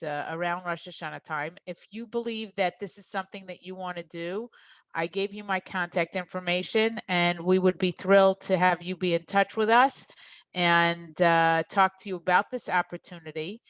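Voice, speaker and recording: A woman's voice: lowish voice, a bit bright, smooth, no room sound, low-quality recording. A woman hosting an online webinar, making closing remarks in an appreciative, encouraging way. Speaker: webinar host. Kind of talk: warm closing remarks to attendees.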